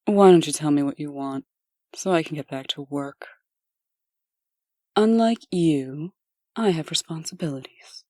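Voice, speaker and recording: An actress playing a cold, no-nonsense administrator and bitter ex-wife in a comic dub, cutting and sardonic. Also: female voice, sits low, a little slow, long pauses, warm tone, no room sound, studio quality.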